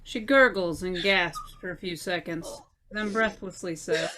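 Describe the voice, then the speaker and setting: Woman narrator, old-time radio style, slow measured reading, bright tone, faint hiss. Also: fairly low pitch. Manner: measured, scripted delivery. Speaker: narrator and announcer.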